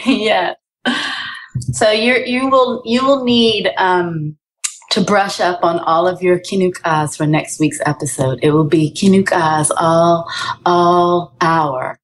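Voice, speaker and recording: A woman guest on a podcast chatting casually, bubbly and quick to laugh. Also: on the low side, fairly even intonation, a little hiss or hum. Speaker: guest actor. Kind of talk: casual chat over a call.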